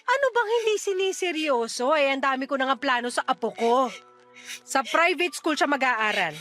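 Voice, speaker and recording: An actress playing a flighty, doting middle-aged mother in a radio drama, with a breezy, teasing, expressive delivery. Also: sits high, normal speed, soft background music. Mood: indignant, protective. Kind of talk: Tagalog radio drama acting.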